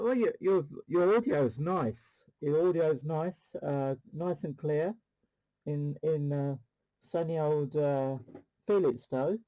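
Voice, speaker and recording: A man's voice: fairly high pitch, dark tone, rough recording. A man hosting a casual CB radio demonstration, rambling along and repeating his phrases. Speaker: CB radio channel host.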